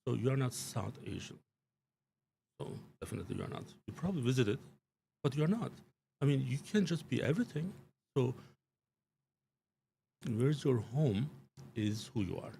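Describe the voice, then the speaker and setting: A man's voice: mid-range voice, big pitch swings, normal speed, gravelly, long pauses, dark tone, slight background noise. A man giving his views on politics in a live studio talk, emphatic and rambling, with many fillers and restarts. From Turkey. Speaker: show guest commentator. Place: small studio.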